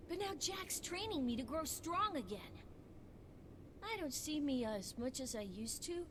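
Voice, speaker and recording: A woman voicing a young boy, an earnest and devoted little knight, in a video game cutscene. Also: high-pitched. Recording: noisy game stream capture.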